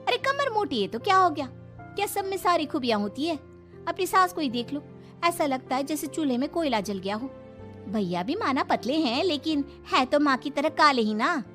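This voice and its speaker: A gossipy young neighbour wife from a cartoon story, played by a woman. She is frank, coaxing and emphatic, with a very high, sharp-edged bright voice over soft background music. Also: sing-song, normal speed. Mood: sympathetic, coaxing. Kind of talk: dramatized Hindi moral story for cartoon.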